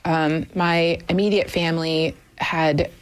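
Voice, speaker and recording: A woman in her fifties with a slightly low voice talks as an interview guest in a studio. She tells personal stories candidly, hedging and correcting herself as she goes. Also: fairly even intonation, takes it slowly, smooth, low-quality recording. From United States, moved often as a military child, Minnesota family. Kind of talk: conversational personal storytelling. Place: radio studio.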